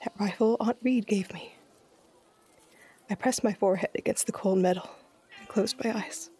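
A woman's voice: medium pitch, steady tone, moderate pace, mellow, lightly acted. A woman playing a guarded, stubborn young sniper weighed down by grief, in an audio drama. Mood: subdued. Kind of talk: audio drama narration and dialogue.

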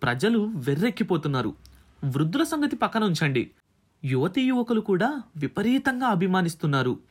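Man acting an agitated, animated office manager. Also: sits high, fairly quick, breathy edge, full character voice. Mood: urgent, pleading. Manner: urgent, exclamatory, bossy. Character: agitated, demanding male magazine circulation manager.

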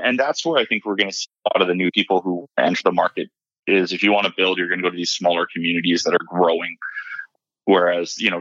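A man who grew up in Hawaii answers podcast questions in a measured, easygoing way, letting dry humor slip in. His voice sits low but sounds bright and forward. The dry recording is a touch muffled and lo-fi. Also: dry recording. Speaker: podcast guest, self-storage appraiser. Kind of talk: casual interview answers. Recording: slightly dull lo-fi audio.

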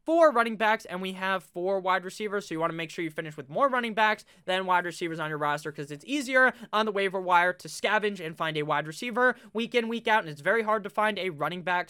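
A man's voice: high-pitched, clear, resonant tone. A young man talking casually to his webcam about a fantasy football draft, swearing freely, his voice a little nasal. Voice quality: slightly nasal. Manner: swears freely, chatty and animated. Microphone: webcam setup.

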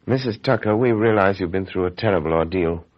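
An actor playing a calm, determined crime-fighting hero man who speaks in a measured, authoritative way in an old radio drama. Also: sits low, close to an everyday voice. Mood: calm, resolute. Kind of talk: 1930s radio acting. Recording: dull old radio with faint hiss.

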